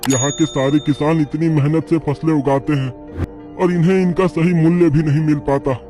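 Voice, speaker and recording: Guy as a polite humble cartoon farmer, soft mellow highish voice, light music under it. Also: fairly even intonation, normal speed, lightly acted. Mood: hopeful. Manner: polite, deferential. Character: humble, hospitable poor farmer. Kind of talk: Hindi moral-story cartoon acting.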